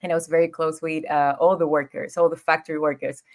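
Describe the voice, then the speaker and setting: Low-voiced Ecuadorian woman telling her story. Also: level tone, fast talker. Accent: Ecuadorian Spanish. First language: Spanish. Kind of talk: casual interview storytelling.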